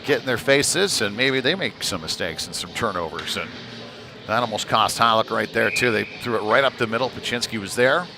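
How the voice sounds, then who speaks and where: A man's voice: on the low side, fairly quick, over music. A man doing live ice hockey play-by-play commentary in a conversational, informal manner. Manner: conversational, informal, tag questions. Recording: clearly noisy venue ambience.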